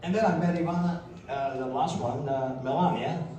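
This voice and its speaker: A man's voice: fairly high pitch, normal speed, warm tone. A middle-aged man from Sicily, casually telling his life story in a rambling, boastful way and chuckling often. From Sicily, Italy. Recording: roomy, distant mic, crowd around.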